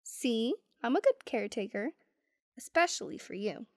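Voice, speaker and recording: Female actor for affectionate, sarcastic young girlfriend in ASMR roleplay; lightly high pitch, playful teasing, dry close recording. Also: animated, moderate pace, dry recording, close to an everyday voice. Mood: playful, caring.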